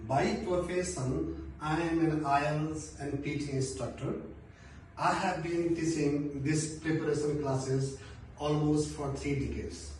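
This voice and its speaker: A man's voice: a little high, fairly even intonation, a little mellow, distant mic, a little hiss or hum. Nepali-accented male teacher in an echoey classroom. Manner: deliberate, repetitive teaching with hand gestures. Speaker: English test instructor. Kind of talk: classroom lecture. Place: medium indoor classroom by a whiteboard. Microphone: phone camera from across the room.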